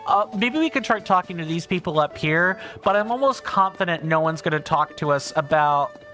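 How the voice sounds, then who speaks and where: A man livestreaming a game, chatting casually and reading out viewer comments, with a big booming voice. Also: animated, normal speed, pushed and bright, soft background music. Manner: chatty, thinks aloud, reads viewer comments. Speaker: streamer. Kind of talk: casual gameplay livestream chat.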